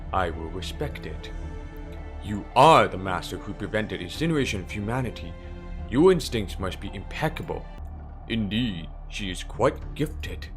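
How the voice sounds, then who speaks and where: A man playing a calm, sinister priest villain in a fan dub, speaking in a measured, formal, smoothly condescending way. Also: lowish voice. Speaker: amateur voice impressionist. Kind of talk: dramatic fan dub character acting. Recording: background music under the voice.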